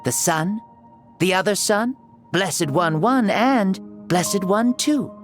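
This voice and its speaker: A woman's voice: medium pitch, expressive, slow, music in the background. A boastful ancient warrior god as a boy. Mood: grandiose. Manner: boastful, grandiose, playful. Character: ancient male warrior god in a boy's body.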